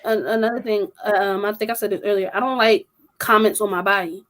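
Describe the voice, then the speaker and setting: A woman's voice: mid-range voice, fairly even intonation, a little fast, a bit bright. Blunt young woman speaking her mind. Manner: blunt, emphatic, strong-willed. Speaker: podcast co-host. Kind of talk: casual group discussion.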